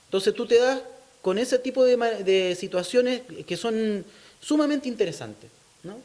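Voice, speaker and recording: A man with a Chilean accent and a notably high voice speaks as an interviewee. He lays out his opinions in an explanatory, thoughtful way, often ending a point with a short questioning tag. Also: measured pace, darkish tone. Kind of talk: thoughtful interview talk on local culture.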